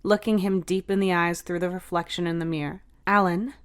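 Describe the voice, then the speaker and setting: A woman's voice: fairly low pitch, fairly even intonation, brisk, clean tone. A woman reading a scripted, dramatic story as its narrator. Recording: music behind the voice. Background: music.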